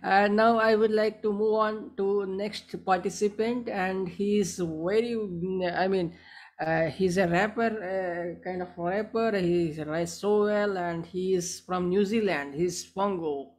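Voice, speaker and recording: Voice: mid-range voice, steady tone, slow pace. A host running a live online poetry gathering, speaking politely and hesitantly and often repeating words and phrases. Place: online video call.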